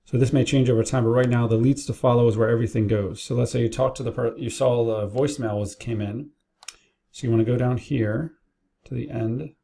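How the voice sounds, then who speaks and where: Man, very dark mellow tone, some vocal fry, casual software walkthrough, informal step-by-step explaining, staff training. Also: mid-range voice, fairly even intonation, brisk. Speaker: business owner training staff. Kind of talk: casual screen-share tutorial narration. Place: indoors at a computer, keyboard and mouse clicks.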